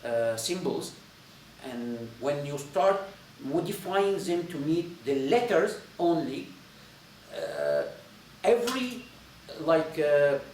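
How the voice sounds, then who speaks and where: Male lecturer, Syrian accent, native Arabic speaker, halting informal delivery; roomy echo, faint noise. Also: a little high, very animated, darkish tone, far from the mic. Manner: halting, repetitive phrasing with searching pauses.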